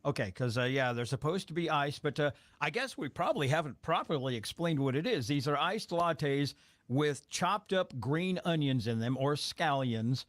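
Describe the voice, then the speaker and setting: A man's voice: fairly high pitch, animated, normal speed, fairly bright tone, dry recording. A man hosting a radio morning show, chatting casually and self-deprecatingly while tasting food in the studio. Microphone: studio microphone.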